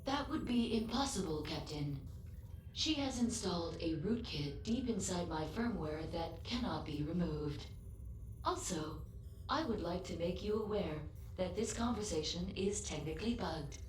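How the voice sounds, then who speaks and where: A loyal female android speaks in a lowish, echoing voice over faint noise. She is formal, precise and matter-of-fact, a measured, literal-minded ship's assistant from a sci-fi game. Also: animated. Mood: clinical, deadpan. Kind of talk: scripted video game voice acting.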